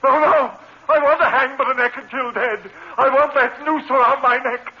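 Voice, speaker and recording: A man's voice: very high voice, fairly even intonation, moderate pace, husky, dull audio. A man playing an anguished, haunted murderer in overwrought 1950s horror drama style, on an old broadcast recording. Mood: frenzied. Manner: frantic, melodramatic, overwrought. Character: anguished condemned murderer haunted by a demon woman.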